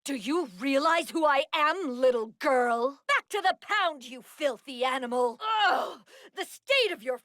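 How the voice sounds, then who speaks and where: An actress voicing a brash, haughty, short-tempered royal fighter in a video game, with an imperious, taunting delivery. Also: female voice, high-pitched, expressive, measured pace, a bit bright, dry recording, clean studio sound. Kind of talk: video game character battle barks.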